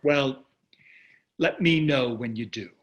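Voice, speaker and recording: A man's voice: mid-range voice, slow, lightly acted. A man playing a smug, callous wealthy husband in a staged play reading, sardonic and condescending. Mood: dismissive, cold.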